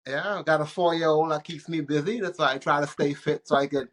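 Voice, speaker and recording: A man from the Bahamas, probably in his thirties, chatting as an interview guest in a relaxed, rambling way with frequent restarts. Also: a little high, fairly quick. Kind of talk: casual interview chat.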